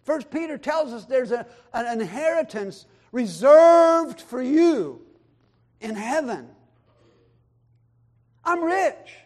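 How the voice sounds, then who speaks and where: A man preaching a sermon, quoting scripture and swinging between loud emphatic lines and quiet low asides. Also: expressive, takes it slowly, pauses often. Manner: quotes scripture and acts out voices of others in the talk. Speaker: pastor.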